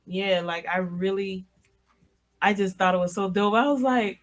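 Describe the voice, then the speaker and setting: A woman co-hosting a radio show, teasing and quick to react in casual banter. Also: medium pitch.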